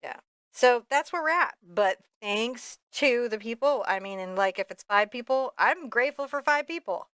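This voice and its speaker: A woman's voice: fairly high pitch, expressive, normal speed, a bit bright, smooth, dry recording, clean studio sound. A woman giving a casual step-by-step painting lesson, thinking aloud in a candid, informal way with rambling asides. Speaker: painting instructor.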